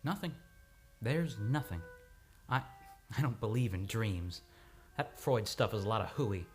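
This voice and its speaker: A man playing a troubled, agitated amnesiac in an old-time radio drama, speaking haltingly and repeating his words. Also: fairly low pitch, animated, darkish tone. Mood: guarded, dismissive. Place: radio studio, live radio play. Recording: dramatic music under the voice.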